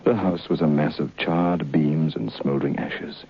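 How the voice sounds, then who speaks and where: Deep, gravelly man a haunted storyteller. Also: steady tone, full character voice. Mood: grave, reflective. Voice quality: gravelly, husky. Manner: halting, dramatic storytelling. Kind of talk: old radio horror drama acting and narration. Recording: dull vintage radio recording.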